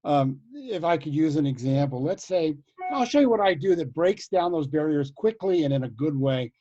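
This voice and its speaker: A man's voice: fairly high pitch, animated, normal speed, dark tone, highs cut off, a little hiss or hum. A man telling a playful anecdote as a panelist on a video call, joking easily. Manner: jokey, playful storytelling. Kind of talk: casual anecdote.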